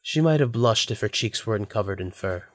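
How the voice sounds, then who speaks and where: A man's voice: fairly low pitch, lively intonation, fairly quick, dry recording. Dry-sounding male story narrator. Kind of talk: scripted audio drama narration.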